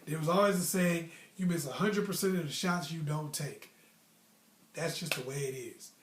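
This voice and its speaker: High-pitched American man telling stories, echoey room. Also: normal speed, noisy background. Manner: animated, emphatic, slangy. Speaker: storytelling host. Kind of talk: casual personal storytelling with motivational advice. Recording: roomy, echoey sound.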